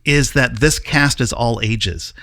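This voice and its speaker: A man's voice: medium pitch, slow, pushed and bright, no echo, studio quality. An American man hosting a conversational interview. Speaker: host.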